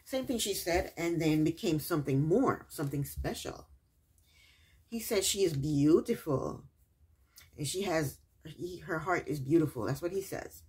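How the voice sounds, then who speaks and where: A woman's voice: low-pitched, unhurried, a little mellow, husky. A woman recapping a reality TV episode in a casual, chatty way, with sarcastic asides and repeated punchlines.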